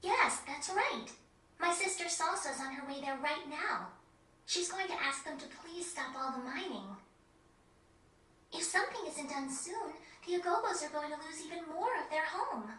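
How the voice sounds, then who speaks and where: A woman playing a composed, courteous young girl who guards a forest, speaking in measured, articulate video game dialogue. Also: high voice, expressive, pushed and bright. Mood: earnest, concerned. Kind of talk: video game cutscene acting. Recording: echoey, distant game audio.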